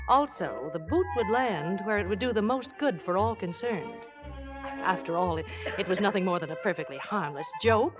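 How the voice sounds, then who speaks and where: A woman plays a composed, quietly scheming wife in classic film style. Her mid-range voice is measured, genteel and wry. Music plays clearly behind her. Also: medium pitch, lightly acted. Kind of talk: classic film dialogue and voice-over narration.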